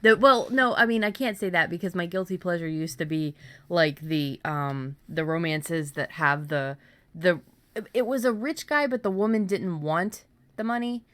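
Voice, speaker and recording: A woman's voice: on the low side, moderate pace, fairly bright tone, no echo. A woman hosting a podcast in casual chat, informal and given to acting out imagined remarks. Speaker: podcast host.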